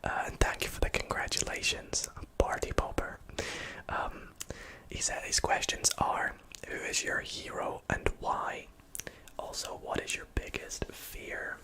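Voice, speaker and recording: A person with a Scottish accent whispering softly into a close microphone while answering chat questions on a livestream, with frequent ums. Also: measured pace, rough recording. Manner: soft whisper, reading chat aloud with many fillers. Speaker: ASMR streamer.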